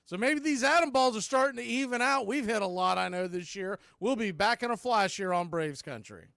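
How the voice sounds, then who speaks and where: Male baseball announcer, higher register, dry recording, radio play-by-play delivery. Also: lively intonation, a little fast, creaky, pushed and bright, clear, resonant tone, no echo. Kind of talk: live radio-style baseball commentary.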